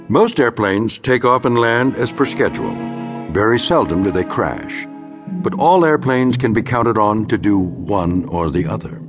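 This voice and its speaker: An American man performing as the formal, wry, deliberate narrator of an uncanny radio drama. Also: on the low side. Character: measured, enigmatic male host narrator of uncanny tales.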